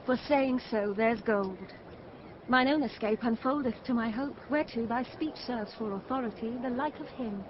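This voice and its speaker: Woman acting a young castaway noblewoman, fairly high, earnest formal verse, radio drama, slightly muffled. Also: moderate pace, slight background noise, close to an everyday voice. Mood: earnest resolve. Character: earnest, resolute shipwrecked young gentlewoman. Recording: muffled, faint ambience behind.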